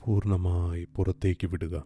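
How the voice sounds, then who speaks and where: A man guiding a meditation in a calm, gentle, soothing way, leaving long pauses. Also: sits low. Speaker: meditation guide. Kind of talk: guided meditation, slow soothing instruction. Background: soft meditation music with singing bowl.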